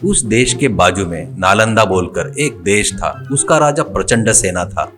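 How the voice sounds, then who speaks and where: A man's voice: lowish voice, lively intonation, a little slow, fairly bright tone, faint music behind. A man narrating a scripted comic folk tale as a storyteller.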